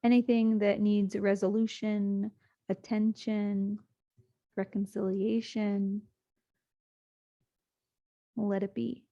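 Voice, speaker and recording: A woman guides a sleep meditation in a soothing, gently paced voice with long pauses. Her pitch stays flat and even. The recording sounds slightly muffled and lo-fi.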